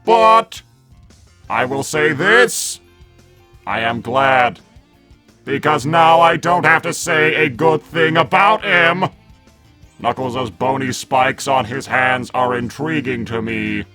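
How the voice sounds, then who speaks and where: Blunt male robot for fan cartoon man acting, slow, deliberate and formal, no small talk. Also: medium pitch, bright, forceful tone, faint music behind. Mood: flatly displeased. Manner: stiff, deliberate, formal delivery. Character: blunt, literal-minded male combat robot. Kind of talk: fan-made cartoon dub.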